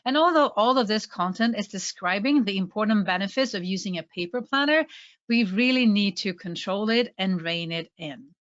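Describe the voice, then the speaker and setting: A woman with a Swedish accent presents a webinar in an enthusiastic, friendly teaching manner. Her voice sits at a middle pitch and comes through a video call with slightly dull, lo-fi sound. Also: lively intonation, moderate pace, fairly bright tone. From Sweden. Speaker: presentation trainer.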